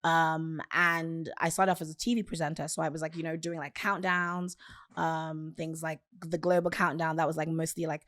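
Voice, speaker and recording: A woman from Nigeria with a nasal voice, a podcast guest telling her story in relaxed, casual chat full of "like" and "um". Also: sits low, moderate pace, no room sound. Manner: relaxed, filler-heavy storytelling with frequent "like" and "um".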